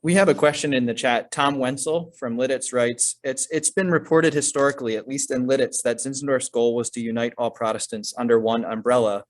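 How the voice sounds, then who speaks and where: A man's voice: medium pitch, steady tone, fairly quick, few pauses. Man reading a chat question aloud.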